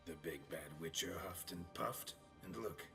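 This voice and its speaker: Gruff monster hunter in a fantasy game, super low mumbly monotone, dry humor, music behind. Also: male voice, normal speed. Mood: dry amusement. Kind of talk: fantasy video game acting.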